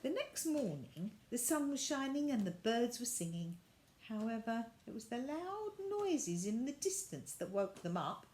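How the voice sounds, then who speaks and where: A woman reading a children's story aloud as an expressive storyteller. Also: on the high side, measured pace, background noise.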